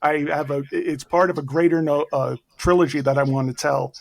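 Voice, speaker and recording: A man with a Bronx accent, talking as an author guest in a casual interview, self-deprecating and brisk, going on at length. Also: a little high, fairly even intonation, vocal fry, dry recording.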